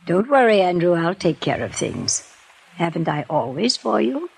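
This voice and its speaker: An actress playing a wealthy, scheming older woman who feigns sweet innocence and pleads in a genteel, breathy voice, in a 1950s radio drama. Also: fairly low pitch, very animated, normal speed, a little hiss or hum. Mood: coolly scheming.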